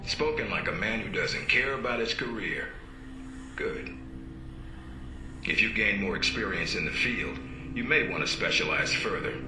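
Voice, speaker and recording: A man playing a stern spy agency superior in a video game, speaking with brisk, sardonic authority. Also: on the low side, expressive, background noise, lightly acted. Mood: businesslike, needling. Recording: roomy, loud music underneath.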